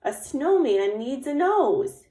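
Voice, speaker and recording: A woman's voice: high voice, big pitch swings, takes it slowly, mellow, echoey room, pitched above the performer's own voice, heavily acted. A woman voicing small, friendly woodland animals in a storybook, eagerly announcing their helpful gifts.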